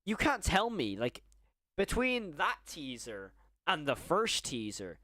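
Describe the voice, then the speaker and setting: A young man with a slight stutter, chatting excitedly on a live stream and often restarting his sentences. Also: a little high, takes it slowly, no echo, clean studio sound. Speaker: horror YouTuber streaming live. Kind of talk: casual live-stream chat.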